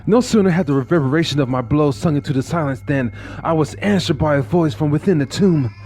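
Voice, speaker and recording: A man's voice: on the high side, fast talker, natural voice. An actor playing a deranged, smug murderer who confesses his crime in formal, theatrical horror narration. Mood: frenzied terror. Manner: theatrical, formal, brooding, drops into hushed whispers. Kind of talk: dramatic horror narration of a classic gothic tale.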